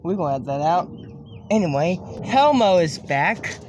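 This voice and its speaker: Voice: mid-range voice, very animated, normal speed, shouting, natural voice. A performer voicing a rowdy, foul-mouthed puppet character, brash and combative, in an improvised crude comedy skit. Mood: announcing. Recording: noisy home recording with clicks.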